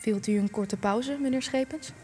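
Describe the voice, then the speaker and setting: Radio play role: actress as polite, firm young female interviewer, fairly high pitch, long hall reverb. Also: normal speed, dark tone, faint music behind, lightly acted. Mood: polite, inquiring. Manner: polite but insistent. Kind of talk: Dutch radio play acting.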